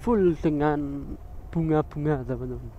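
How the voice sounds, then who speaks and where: A man's voice: fairly high pitch, a little slow, dark tone, lo-fi sound. Man vlogging from a motorbike. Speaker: motovlogger, channel host. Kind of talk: casual motovlog commentary while riding. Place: outdoors, riding a motorbike on village roads. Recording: outdoor vehicle and engine noise.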